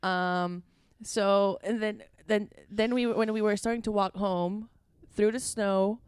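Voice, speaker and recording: Latvian-accented woman with a smooth voice. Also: mid-range voice, slow pace, no echo, studio quality. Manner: animated storytelling, playful. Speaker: podcast co-host. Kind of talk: casual comedic chat.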